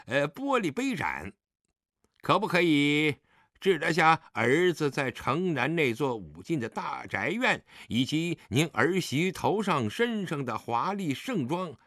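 A man's voice: mid-range voice, bright, forceful tone, no room sound, studio quality, heavily acted. A man acting a drunk, defiant grown son who taunts his father insolently in an audiobook drama. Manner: insolent, taunting, slightly stumbling speech.

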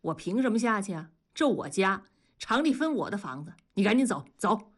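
Lady reader doing a whiny loudmouth husband in an audiobook, all indignant questions, really animated. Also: moderate pace, husky. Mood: sullen defiance. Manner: shrill tirades full of indignant rhetorical questions. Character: blustering, whining middle-aged husband, defensive and crude. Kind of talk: audiobook character dialogue.

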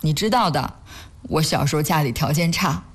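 Husky, tender-hearted wife. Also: female voice, low voice, normal speed. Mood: earnest, grateful. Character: caring, tender-hearted wife devoted to charity work. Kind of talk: sentimental audio drama dialogue.

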